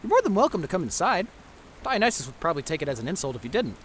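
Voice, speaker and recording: A woman's voice: lowish voice, sing-song, rapid-fire, slight background noise. A woman voicing a cheeky, chatty young winged messenger god in a fantasy audio drama, breezy and sarcastic. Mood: playful, curious.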